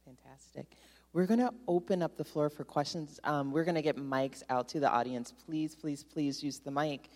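A woman with a very low speaking voice who moderates a live event in an upbeat, brisk way. The sound has a long hall reverb. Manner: upbeat and brisk, says she is loud. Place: auditorium.

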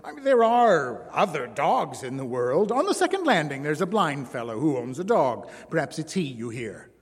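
A man's voice: fairly high pitch, very animated, moderate pace, breathy edge. A man reading a story aloud, voicing a boastful, contemptuous circus bareback rider who bellows his insults. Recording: long hall reverb.

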